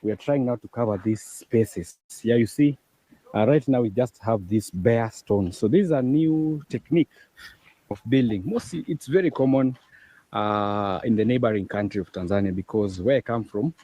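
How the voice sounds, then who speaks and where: A man's voice: on the low side, moderate pace, dark tone, breathy edge. A man explaining a building technique on a construction site, plain and hesitant.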